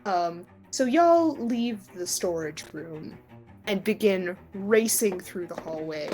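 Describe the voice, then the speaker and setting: A woman's voice: medium pitch, lively intonation, slow, rough recording. A woman running a tabletop roleplaying game, narrating in a conversational, descriptive way as she improvises. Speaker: game master. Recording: music behind the voice. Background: background music.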